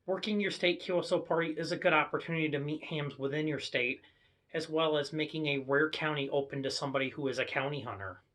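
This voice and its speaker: An American man with a somewhat high voice presents as an amateur hobbyist. He talks casually, in the manner of a homemade vlog walkthrough of his own gear. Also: a bit bright.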